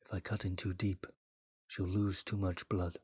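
A man playing a grave, knowing island healer in an audio drama, husky and matter-of-fact with an ominous manner. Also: low voice, flat delivery, moderate pace.

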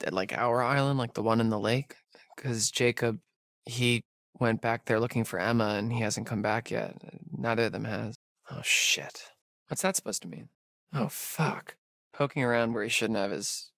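A man's voice: medium pitch, a bit bright, no room sound, studio quality. A young man with an American accent acting a wisecracking, nervy camp counselor in a video game, with casual, hesitant delivery. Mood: worried.

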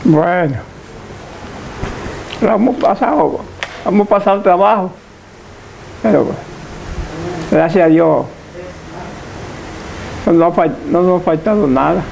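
An elderly man with a Dominican accent and a gravelly voice, slowly recalling his life in a living-room interview, often repeating and restarting his words. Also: sits high, darkish tone, slight background noise, poor audio. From Dominican Republic. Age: older. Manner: halting, repeats and restarts words, short assents. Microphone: lapel mic.

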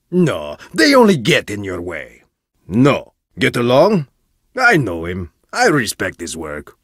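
A haughty game archaeologist who lectures everyone as if they were beneath him. His delivery is theatrical and sweeps up and down in pitch, with a husky, breathy voice. The sound is dry and close, straight from a studio booth. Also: a bit bright, dry recording, full character voice. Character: arrogant egomaniac male archaeologist, middle-aged. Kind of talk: video game voice acting.

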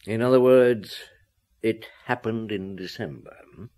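An actor playing a shrewd police inspector who questions deliberately, in old radio drama style. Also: male voice, lowish voice, mellow, natural voice. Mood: pressing, shrewd. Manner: deliberate, interrogating.

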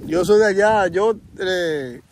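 Dominican male vlog host, easygoing, slightly raised pitch, chats with locals outdoors. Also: slow, a little hiss or hum. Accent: Dominican Spanish. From Dominican Republic. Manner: friendly, addresses viewers as "señores". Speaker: vlogger host. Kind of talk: casual on-location interview. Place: outdoors in rural hills.

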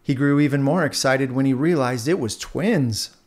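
A man narrating a scripted children's story aloud in an expressive storyteller's manner. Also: mid-range voice, moderate pace. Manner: expressive, animated.

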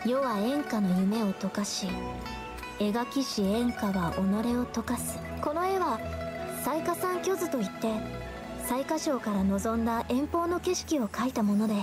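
A high-pitched young woman painter from an anime game, shy and self-doubting. She speaks softly and earnestly in a hesitant, reflective way, with clear background music. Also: lively intonation, a little slow. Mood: thoughtful.

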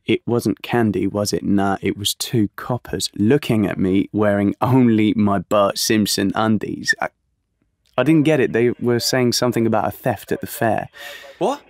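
An actor plays a cheeky, lovesick young British man in an audio drama. His voice is low and gravelly, recorded close and dry. He tells his story in slangy, confiding speech. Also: moderate pace, dry recording, natural voice. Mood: indignant. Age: young adult. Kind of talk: audio play monologue and dialogue.

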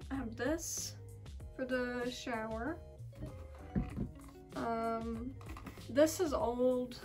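A woman's voice: on the high side, drawn-out words, a little mellow, distant mic, faint music behind. Young woman chatting in a clean-with-me vlog. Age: young adult. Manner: chatty, lots of ums and so yeah. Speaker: vlogger. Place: small house rooms, indoors. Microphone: camera on a stand, from across the room.

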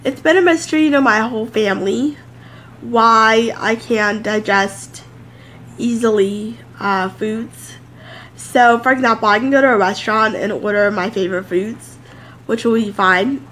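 A woman's voice: a little high, a little slow, a bit bright, slight background noise, poor audio. Woman, 30s, home vlogger, congested and nasal, chatty and loose, close camera. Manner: rambling, chatty, many fillers. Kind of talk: casual sit-down chat to camera. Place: home office, indoors.

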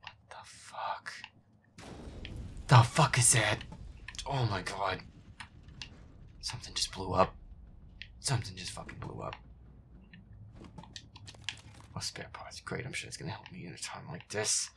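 Guy acting as feisty boyfriend gaming, swears under his breath, kinda creaky and whispery, pitch jumps around. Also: animated, moderate pace, pauses often, fairly bright tone, a little hiss or hum. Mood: tense, annoyed, then exasperated. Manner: sweary, exasperated muttering. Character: feisty, foul-mouthed boyfriend grumbling through a scary game. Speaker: audio roleplay performer. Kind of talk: casual gaming commentary in boyfriend audio roleplay.

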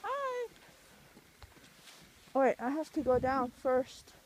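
A woman's voice: high voice, big pitch swings, slow. A woman chatting casually while she films on a phone outdoors on a ski slope. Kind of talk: casual chat while skiing and filming. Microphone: handheld phone. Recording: noisy outdoor phone recording with handling clicks.

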